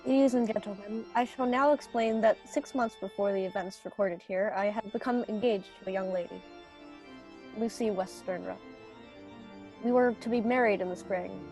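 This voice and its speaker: A woman's voice: mid-range voice, steady tone, moderate pace, darkish tone, soft background music, close to an everyday voice. A young woman playing an earnest young male doctor formally addressing an audience in a student radio play.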